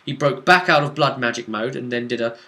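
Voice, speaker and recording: A man's voice: mid-range voice, brisk, sharp tone. A man casually recapping a tabletop role-playing session as game master, explaining conversationally with dramatic asides.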